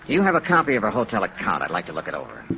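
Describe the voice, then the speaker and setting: A man's voice: on the low side, wildly swooping pitch, very fast, pushed and bright, full character voice. A man acting as a wry, patient insurance investigator in a 1950s radio drama, clipped and dry in his delivery. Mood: probing, patient. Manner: clipped, dry, hard-boiled. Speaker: lead actor, narrator. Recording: noisy vintage 1950s radio recording.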